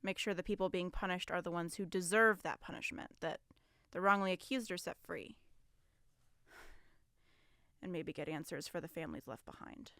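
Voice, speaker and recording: A woman's voice: on the low side, normal speed, pauses often, a bit bright, dry recording, studio quality. A woman playing an earnest, guarded police interrogator in an audio drama, with a firm, businesslike and strained manner. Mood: firm, probing.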